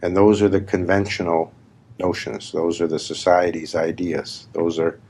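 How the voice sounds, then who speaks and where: A man leading a guided meditation as a spiritual teacher, speaking in deliberate, emphatic, repeated phrases with long pauses. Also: low voice, flat delivery, darkish tone, slightly rough. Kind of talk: slow guided meditation talk. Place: live gathering.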